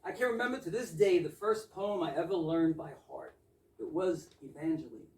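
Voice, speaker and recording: A woman's voice: lowish voice, normal speed, a little mellow, close to an everyday voice. A woman playing an earnest, gullible young suitor with a romantic, rhapsodic manner in an amateur readers theater comedy. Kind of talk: amateur readers theater of a 1920s comic play. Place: indoor readers theater stage, distant microphone. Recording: echoey stage recording from far off.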